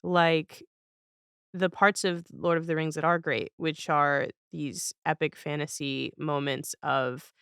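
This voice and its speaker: A woman's voice: low voice, level tone, slow pace, creaky, a bit bright, smooth, dry recording, clean studio sound. A woman hosting a casual podcast interview, speaking in a reflective, agreeable and informal way.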